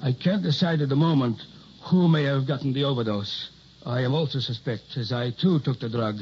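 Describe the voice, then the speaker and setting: Actor playing a grave old doctor, muffled radio recording. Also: male voice, medium pitch, slight background noise, lightly acted. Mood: grave, confessing. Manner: measured, formal, solemn. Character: worried older doctor, grave, secretive scientist confessing. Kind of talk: 1960s radio drama acting.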